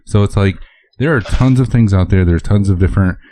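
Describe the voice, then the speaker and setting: A man with a Michigan accent co-hosting a casual podcast, tossing in quick crude jokes and agreement. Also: on the low side, warm tone, a little hiss or hum. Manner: jokey, quick interjections, crude humor. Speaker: podcast co-host. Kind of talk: casual chat.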